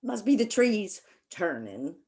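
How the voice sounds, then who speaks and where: An actress playing a wistful, emotional rural sister with a folksy Southern US drawl in a stage play. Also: female voice, mid-range voice, very animated, takes it slowly, a little mellow. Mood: wistful, nervous.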